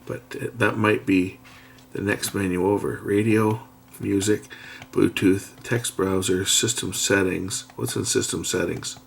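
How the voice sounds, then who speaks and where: A man's voice: fairly low pitch, steady tone, creaky, slight background noise. A middle-aged man gives a casual hands-on demo at a workbench, talking himself through menus. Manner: thinking aloud while pressing buttons, unhurried. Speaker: product reviewer. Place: indoors at a workbench.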